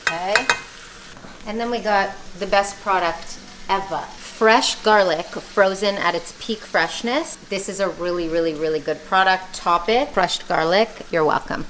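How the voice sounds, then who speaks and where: A woman's voice: medium pitch, unhurried. Chatty woman in an echoey kitchen. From Canada. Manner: chatty, confident, playful asides. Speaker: vlogger. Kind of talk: casual chat while cooking. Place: home kitchen. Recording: echoey kitchen, faint noise.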